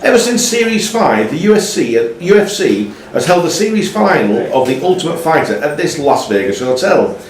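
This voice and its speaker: A man's voice: a little high, very animated, normal speed, hardly any pauses, far from the mic, slight background noise. A man working as a quiz master, reading out questions and ruling on answers. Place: pub. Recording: roomy, echoing pub with music behind.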